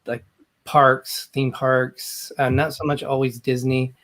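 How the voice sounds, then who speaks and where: A man's voice: mid-range voice, level tone, slow, a bit bright. A man born in England, a travel channel creator, talking casually with fillers on a live video call. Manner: easygoing, rambling with fillers.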